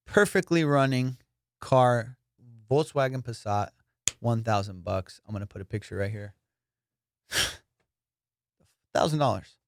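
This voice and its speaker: Young guy comedian ranting about his deals, swears a lot, flat dry room sound. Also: mid-range voice, dry recording. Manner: profane, boastful storytelling with excited bursts. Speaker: comedian, podcast host. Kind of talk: casual comedic monologue.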